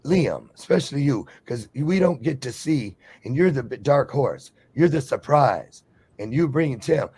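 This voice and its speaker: A man speaking with effusive, animated enthusiasm on a talk show, eager to keep the floor. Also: medium pitch, measured pace, slightly rough. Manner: effusive, animated, talks over others.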